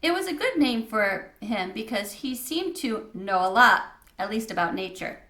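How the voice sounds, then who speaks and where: A woman narrating a children's storybook aloud with expressive reading. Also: a little high, moderate pace. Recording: echoey room.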